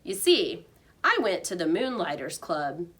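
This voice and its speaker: A woman voicing a friendly, excited male night janitor in a children's storybook, chatty and exuberant. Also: fairly low pitch, unhurried, slightly rough, full character voice. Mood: happy.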